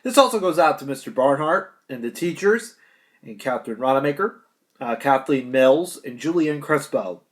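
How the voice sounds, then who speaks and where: A man's voice: mid-range voice, lively intonation, moderate pace. A young American man delivering a prepared graduation speech in an earnest, declamatory manner, with emphatic short phrases. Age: young adult. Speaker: graduating high school student giving a speech.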